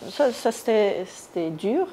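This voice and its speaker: A woman from Iran giving a spontaneous first-person account in an interview, often pausing to search for words. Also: mid-range voice, lively intonation, warm tone, low-quality recording. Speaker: interviewee, activist recounting her detention. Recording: noticeable background noise.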